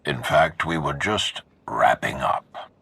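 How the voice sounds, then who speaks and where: An actor playing an imposing, grave Sith lord in a video game, with a solemn, commanding delivery and a gravelly, husky voice. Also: male voice, a bass voice, expressive, slow pace, fairly bright tone, dry recording, full character voice. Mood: stern. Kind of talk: dramatic video game acting.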